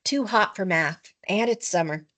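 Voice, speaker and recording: A woman's voice: medium pitch, measured pace, fairly bright tone. A woman voicing a lazy, grumbling schoolboy in a storybook, offhand, whiny and dismissive.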